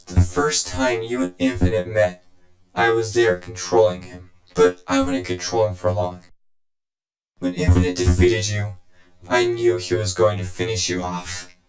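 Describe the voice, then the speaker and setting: An actor voicing a scheming, vengeful game villain who tells his story in a deliberate, condescending, smug way. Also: on the low side, sing-song, vocal fry, darkish tone, reverberant, soft background music, exaggerated voice, low-quality recording. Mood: brooding, scornful. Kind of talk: dramatic video game cutscene monologue.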